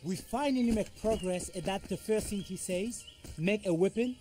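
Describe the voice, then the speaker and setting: A man's voice: high-pitched, moderate pace, dark tone, soft background music, close to an everyday voice. An actor playing an exasperated, idealistic male colleague in film dialogue. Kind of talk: film dialogue in a game cutscene.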